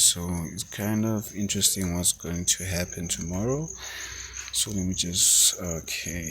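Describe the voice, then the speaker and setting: A man's voice: sits low, fairly bright tone. A man from South Africa, not a native English speaker, thinking aloud as he walks through trading charts as a forex mentor. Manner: thinking aloud, halting with fillers and restarts. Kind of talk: screen-share trading walkthrough.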